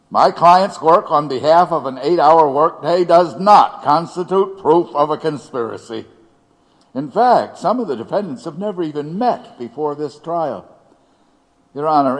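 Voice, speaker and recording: Man as insistent defense lawyer, ringing hall. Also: a little high. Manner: formal, argumentative. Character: earnest, insistent male defense attorney. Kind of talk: historical courtroom audio drama acting. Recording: long hall reverb.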